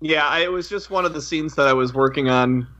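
A man's voice: on the high side, brisk, pushed and bright. A man co-hosting a casual podcast, chatting over dull online-call audio. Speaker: podcast co-host.

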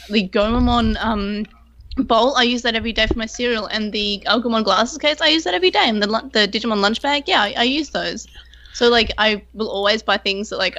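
Female podcast panelist, average pitch, informal conversational delivery. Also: a bit bright, slight background noise. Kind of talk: casual chat.

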